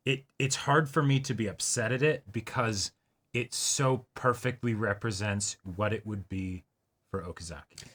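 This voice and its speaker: A man's voice: on the low side, moderate pace, fairly bright tone. A man co-hosting a reaction show, discussing things in a casual, conversational way. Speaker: co-host of an anime reaction show.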